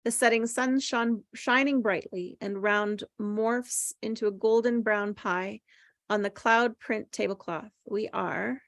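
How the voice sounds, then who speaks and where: A woman's voice: medium pitch, steady tone, unhurried, dry recording, studio quality. A woman reading screenplay stage directions aloud as scripted narration at a table read.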